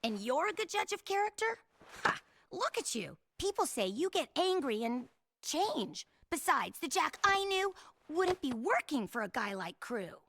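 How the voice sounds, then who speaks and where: An actress playing a feisty, reproachful young female race mechanic in a video game, pointed and expressive. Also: high-pitched, a little slow, gravelly, dry recording, heavily acted. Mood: scornful. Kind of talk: video game cutscene acting.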